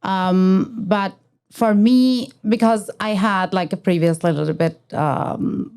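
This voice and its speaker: A woman's voice: medium pitch, slow pace, a little mellow, clear, resonant tone. A woman from Bangladesh, a podcast guest telling her life story. She talks earnestly and searches for words. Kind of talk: casual interview chat.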